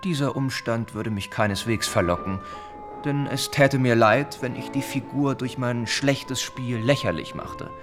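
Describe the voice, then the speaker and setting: An actor playing a principled, reserved young gentleman in a radio drama, measured, formal and firm. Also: mid-range voice, over music, lightly acted. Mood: dry, reserved.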